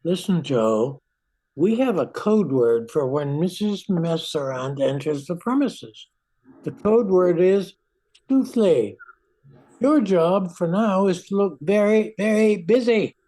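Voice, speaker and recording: An unflappable newsroom man in an old-time radio comedy, played by a woman. The voice is soft-edged and mellow, with slow, measured explanations. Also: on the low side, expressive, rough recording. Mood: instructing. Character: unflappable male news office colleague.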